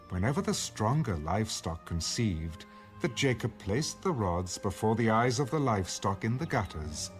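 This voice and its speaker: A man giving a dramatic scripted narration of scripture, as in an audio Bible. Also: on the low side. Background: background music.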